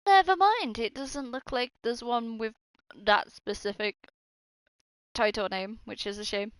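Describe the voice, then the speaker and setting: A woman's voice: a little high, measured pace, fairly bright tone, clear, resonant tone, no room sound. A woman chatting casually and informally as she streams her gameplay, swearing freely. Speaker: game streamer. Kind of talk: casual live gameplay chat.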